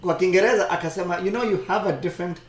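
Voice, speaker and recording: A Kenyan woman co-hosting a live stream, explaining her points calmly in English and Swahili. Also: lowish voice, very fast, roomy sound. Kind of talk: casual chat mixing English and Swahili.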